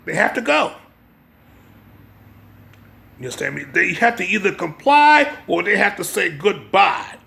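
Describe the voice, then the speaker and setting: A man with a gravelly voice giving an informal religious lecture, part reading and part commentary, emphatic and repeating his phrases to press the point. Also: high voice, big pitch swings, unhurried, long pauses, bright, forceful tone. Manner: emphatic, repeats phrases, checks listener's understanding. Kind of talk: informal religious lecture mixing read-aloud passages and commentary.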